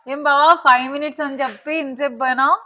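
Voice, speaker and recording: A woman's voice: high-pitched, little pitch movement, fast talker, over loud music. A young woman, chatting casually in a pleading, coaxing way. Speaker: prank caller. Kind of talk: casual pleading phone-prank conversation. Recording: muffled, very noisy location audio.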